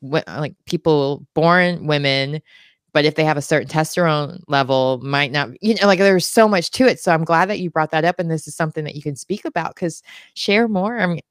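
A woman with a low voice hosts a casual interview podcast, circling her points with fillers and hedges. Her voice is recorded dry and close, with no room sound. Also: a little fast, no echo. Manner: rambling, hedging with fillers, laughs. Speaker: podcast host.

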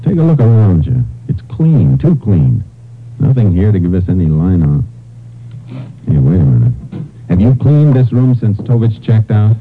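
An American actor playing a calm, methodical Texas Ranger investigator in a 1950s radio drama, with a terse, probing manner. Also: male voice, on the low side, moderate pace. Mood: intent. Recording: muffled, noisy 1950s radio recording.

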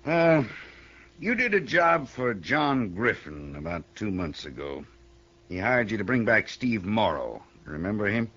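An actor playing a hearty, blunt American small-town banker with folksy manners in a 1950s radio western. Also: male voice, fairly low pitch, big pitch swings, a little slow, a bit bright, full character voice. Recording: muffled, hissy old radio broadcast.

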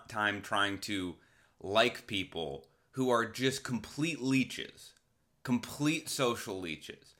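A man's voice: lowish voice, sharp tone. A man talking casually as a podcast host, rambling candidly with self-deprecating comedy.

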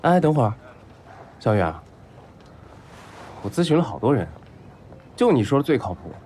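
A man's voice: mid-range voice, sing-song, normal speed, dark tone, slight background noise, natural voice. An actor playing a blunt, stubborn, spoiled young rich man in a Chinese audio drama. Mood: eager, brash.

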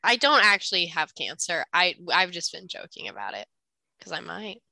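A woman's voice: lowish voice, big pitch swings, moderate pace, vocal fry, pushed and bright, no echo, poor audio. A young adult woman with a slightly congested, nasal voice, chatting casually and jokily as a podcast co-host in a self-deprecating way. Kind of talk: casual banter between siblings.

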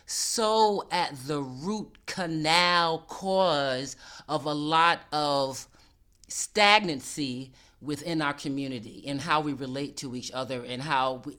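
A woman's voice: sits low, fairly bright tone. A woman co-hosting a casual advice chat, candid and laughing often, swearing freely and stretching out words for emphasis.

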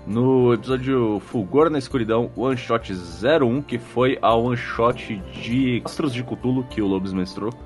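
A man's voice: mid-range voice, unhurried, few pauses. A man with a Brazilian accent hosting a podcast, chatting casually in a jokey, slangy way and reading listener comments. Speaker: podcast host. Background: background music.

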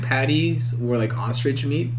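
A man's voice: mid-range voice, measured pace, background noise. A man hosting a casual podcast chat with friends. He swears a lot, laughs often and repeats words as he talks. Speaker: podcast host.

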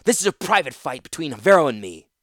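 A man's voice: a little high, big pitch swings, fast, no room sound, pitched above the performer's own voice. A vengeful young swordsman snarls his threats in a raised, strained voice, played by a male audiobook reader. The delivery is dry and close, with no room around it. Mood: snarling.

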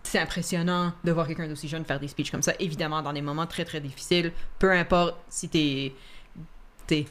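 Une femme qui coanime une discussion décontractée en direct, qui rit souvent et mélange des mots anglais à son français. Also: female voice, low voice, fairly even intonation, brisk, a bit bright. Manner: laughs often, mixes English words into French. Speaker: co-host. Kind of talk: casual chat on a live stream.

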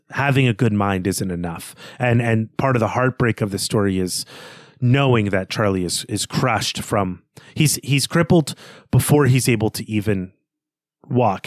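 A man's voice: on the low side, no room sound, studio quality. A man co-hosting a podcast in a literary discussion, earnest and analytical, often repeating and rephrasing his words as he goes. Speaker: podcast co-host.

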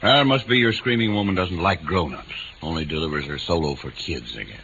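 Low-voiced, indulgent American dad. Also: male voice, a little fast. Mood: dismissive, amused. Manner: folksy, patronizing, soothing. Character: dismissive, indulgent father, middle-aged, gently firm. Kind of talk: 1940s radio drama acting. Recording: dull, noisy old radio recording.

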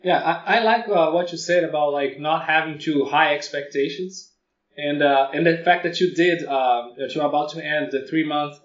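Roomy-sounding non-native English speaker, rambling. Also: male voice, fairly high pitch. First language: not English. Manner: rambling, lots of fillers and likes. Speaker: podcast co-host. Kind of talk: casual chat. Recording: roomy, distant, dull sound.

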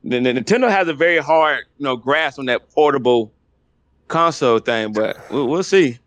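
A man's voice: mid-range voice, moderate pace, fairly bright tone, no echo. A man on a casual gaming podcast, speaking hesitantly, agreeing often and asking questions. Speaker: podcast co-host.

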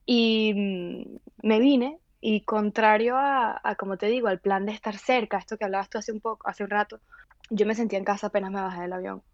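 A woman from Venezuela, telling stories in an animated casual conversation with many restarts. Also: mid-range voice, fairly quick, no room sound, dull audio.

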